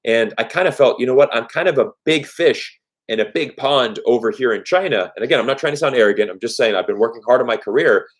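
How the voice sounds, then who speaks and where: A man, raised speaking German first, hosts a live-stream Q&A at a medium pitch. He talks earnestly and off the cuff, circling back on his phrases and filling gaps with "you know". His delivery is sincere and conversational. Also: animated, fast. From United States. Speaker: YouTube host.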